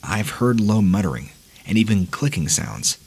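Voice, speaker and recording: A man reading listener-submitted scary stories aloud in a measured, ominous storytelling manner. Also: on the low side, sing-song, a little mellow, breathy edge. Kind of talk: scripted horror narration.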